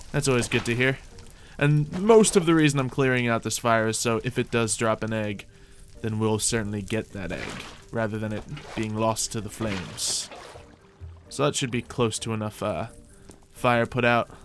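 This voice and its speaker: A man's voice: medium pitch, slight background noise. A man hosting a gaming video, thinking aloud in casual gameplay commentary.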